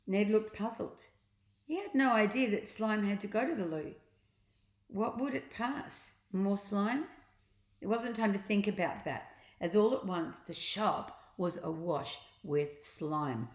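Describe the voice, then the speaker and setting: Woman storybook reader, slow pace, expressive narration, dramatic emphasis, roomy echo. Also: mid-range voice. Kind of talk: expressive children's read-aloud narration. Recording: echoey room.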